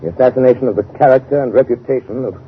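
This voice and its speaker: An actor playing a shrewd, assured gentleman crime-fighter in a 1930s radio drama, speaking in a measured, articulate, grave way. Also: mid-range voice, lightly acted. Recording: noisy, narrow-band 1930s radio broadcast.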